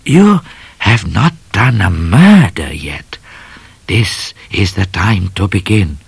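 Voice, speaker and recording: A man's voice: on the low side, sing-song, vocal fry, slightly rough, dry recording, pitched above the performer's own voice, full character voice. Man voicing a grave, stern talking cat. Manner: grave, serious, slow. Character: stern, wise, cold-hearted cat, sly outlaw companion.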